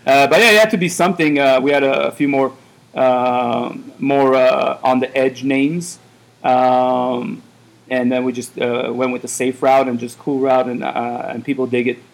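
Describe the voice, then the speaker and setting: A man's voice: mid-range voice, steady tone, normal speed, gravelly, poor audio. Rambling man full of fillers. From Iran. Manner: rambling, many fillers and restarts. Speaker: café owner. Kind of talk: casual interview.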